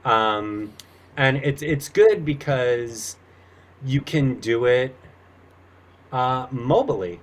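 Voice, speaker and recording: A man's voice: mid-range voice, expressive, drawn-out words, long pauses. Easygoing man chatting as a guest. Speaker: actor and voiceover performer, podcast guest. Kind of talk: casual chat.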